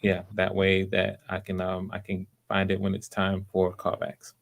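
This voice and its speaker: Man, somewhat deep voice, encouraging casting host chatting casually on a live stream. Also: monotone, moderate pace, gravelly.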